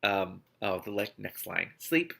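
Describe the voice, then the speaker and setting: A man's voice: lowish voice, animated, a little slow, creaky, sharp tone. A man reading a novel aloud expressively, breaking off into spontaneous amused and exasperated asides as host. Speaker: host of an online read-aloud series.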